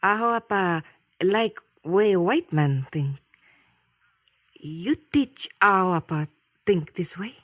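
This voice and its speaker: Earnest young maiden, slow halting broken English, husky, close and dry, vintage radio drama. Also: female voice, fairly low pitch, animated, fairly bright tone, dry recording, exaggerated voice. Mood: earnest, grateful. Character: earnest young Native maiden, quietly determined. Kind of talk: 1950s radio drama acting.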